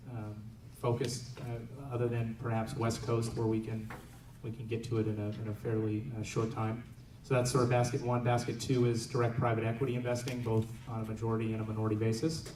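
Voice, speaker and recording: A man speaking on a conference panel, talking in a halting way with many fillers and restarts. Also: on the low side. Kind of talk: panel discussion. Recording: echoey room, distant mic, noisy.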